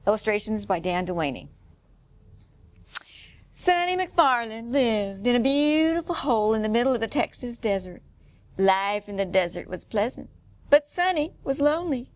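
A middle-aged woman storyteller reading a picture book aloud to children in an expressive way. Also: on the high side, normal speed, no room sound. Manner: expressive, clearly paced for children. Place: indoor room, standing before a painted wall.

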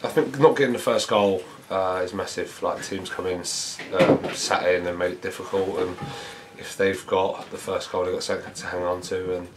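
Low, flat-voiced football manager. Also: male voice, background noise. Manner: measured, reflective, many fillers. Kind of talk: post-match interview. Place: small indoor press room before a sponsor board.

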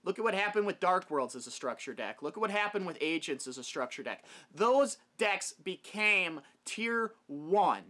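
A man's voice: on the high side, animated, fast, few pauses, pushed and bright. A young man talking casually and emphatically to camera, giving his opinions as a video host in a room at home. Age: young adult. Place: small wood-paneled room at home.

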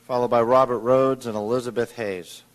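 A man's voice: mid-range voice, steady tone, normal speed. A man chairing a school board meeting, briefly calling speakers and announcing that time is up. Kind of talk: meeting procedure.

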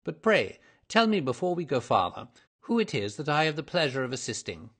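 A man voicing a shrewd gentleman detective in a storybook, smooth, courteous and self-assured. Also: a little high, very animated, fairly quick, voice pushed up, heavily acted. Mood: courteous, probing. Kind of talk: audiobook character voice.

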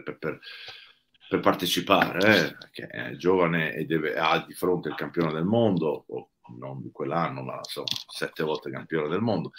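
Give me an older man with a deep, low voice, pausing and restarting as he explains on a video call. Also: fairly bright tone. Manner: thoughtful, hesitant, lots of fillers and restarts. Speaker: guest technical expert. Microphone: video call webcam.

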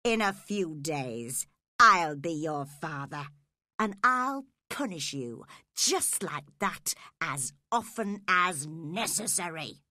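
A woman's voice: sits low, sing-song, slow pace, sharp tone, no room sound, clean studio sound, full character voice. A woman voicing a cold, scheming, cruel father in a dramatised audiobook. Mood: calm menace.

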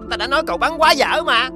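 A performer voicing a teasing, mocking demon sprite in an animated fairy tale. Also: sits high, exaggerated voice.

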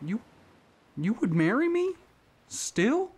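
A performer voicing a lovesick, apologetic young male suitor in an animated film. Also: medium pitch, takes it slowly, husky, exaggerated voice. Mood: pleading.

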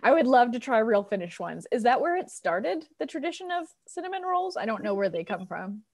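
Chatty, animated American woman. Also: fairly high pitch, fairly quick. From United States, Colorado. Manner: chatty, animated, giggly, informal. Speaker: interview guest, filmmaker. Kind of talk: casual chat interview. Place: living room on a video call. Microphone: wired earbuds on a video call.

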